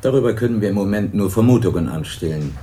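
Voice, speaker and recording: An actor playing a calm, formal police investigator in a radio crime drama, measured and official. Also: male voice, fairly low pitch, natural voice. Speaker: police detective.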